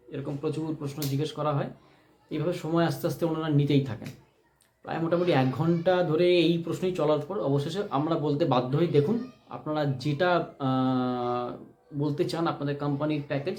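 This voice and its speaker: A man telling a personal story unscripted, in a deliberate, emphatic way that repeats phrases for stress. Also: mid-range voice, a little mellow. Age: adult, married. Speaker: vlogger telling a personal experience. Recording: echoey room.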